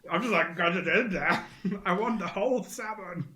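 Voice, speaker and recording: A man co-hosting a casual podcast, easygoing and wry, dropping deadpan jokes. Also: high voice, takes it slowly. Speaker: podcast co-host.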